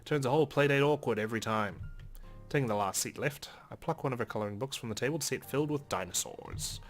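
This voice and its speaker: A man's voice: medium pitch, fast talker. A man hosting a let's play, reading a visual novel aloud as narration with casual commentary of his own. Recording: faint game music behind. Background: game music.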